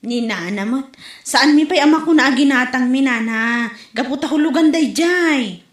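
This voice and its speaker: A woman's voice: high-pitched, moderate pace, lightly acted. A woman playing a chatty, complaining village friend in a comedy drama. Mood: explaining. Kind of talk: Ilocano comedy drama acting. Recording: echoey room.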